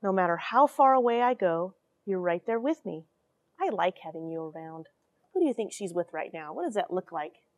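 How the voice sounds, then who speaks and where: A woman in her forties reading and explaining a story to children in an animated, questioning way from a small airlock. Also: on the low side, normal speed, no room sound. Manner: animated, expressive, addresses young listeners with questions. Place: small cramped metal space station airlock.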